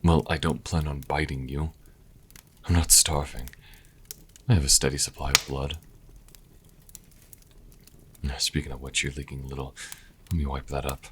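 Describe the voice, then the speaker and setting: A man's voice: rumbling low, little pitch movement, a bit bright, slight background noise. A man playing a sassy vampire and reluctant caretaker in an audio roleplay, speaking dryly and sardonically to the listener. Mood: wry, matter-of-fact. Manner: dry, sardonic, unhurried. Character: sassy male vampire, former thrall, grudging caretaker.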